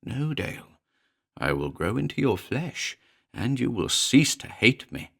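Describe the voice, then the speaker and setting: A man voicing a small, malign male demon familiar who speaks in a shrill, mocking, leering way. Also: sing-song, a little slow, vocal fry, fairly bright tone, heavily acted. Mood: gloating.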